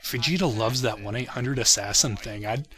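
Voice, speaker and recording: Bright-voiced man doing casual commentary. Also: mid-range voice, normal speed. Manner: self-deprecating, chuckling, swearing. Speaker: creator commenting on own parody series.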